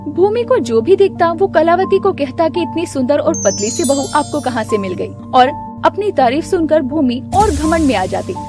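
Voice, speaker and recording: A woman storyteller reads a scripted tale for animation. Her voice is high and very expressive in pitch. Music plays clearly behind her. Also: normal speed.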